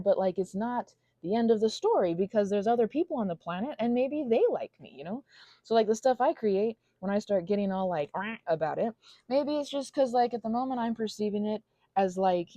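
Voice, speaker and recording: A woman voicing an anxious, self-deprecating little female goblin in an intimate ASMR monologue, rambling haltingly and interrupting herself with soft asides. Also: medium pitch, no echo, natural voice. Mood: sad, musing.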